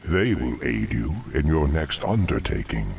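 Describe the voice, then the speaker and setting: A very deep gravelly demon lord. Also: male voice, sing-song, darkish tone, a little hiss or hum, full character voice. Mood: commanding. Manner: slow, menacing, formal. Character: sinister male demon dreadlord, commanding and cunning. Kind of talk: fantasy video game acting. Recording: music under the voice.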